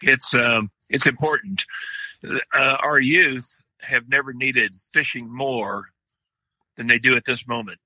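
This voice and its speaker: An older man with a deep, loud voice, talking slowly in a podcast interview with many pauses and restarts. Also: no room sound, rough recording. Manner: slow, halting, many fillers and restarts. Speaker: guest, outdoor writer.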